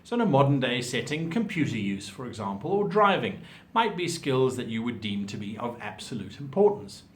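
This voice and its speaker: A man's voice: medium pitch, sing-song, brisk, slight background noise. A man giving an instructional monologue as a host, articulate and emphatic in his explanations. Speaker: host giving tabletop role-playing advice.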